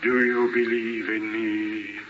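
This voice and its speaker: A man's voice: on the low side, level tone, takes it slowly. A man acting a mournful, commanding male ghost in a 1950s radio drama, solemn and declamatory. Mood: solemn, doom-laden. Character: mournful, commanding ghost of dead business partner. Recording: muffled, noisy old radio broadcast.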